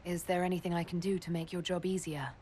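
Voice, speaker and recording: Woman voicing a calm diplomatic female leader. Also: on the low side, quick pace, slight background noise, natural voice. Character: composed female leader, the Inquisitor. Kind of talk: video game cutscene acting.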